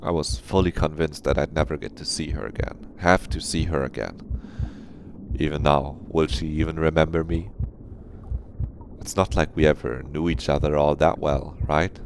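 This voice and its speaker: Deep-voiced male streamer reading dramatically. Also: normal speed, background noise. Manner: dramatic, expressive reading, swears freely. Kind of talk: live visual novel read-aloud with asides.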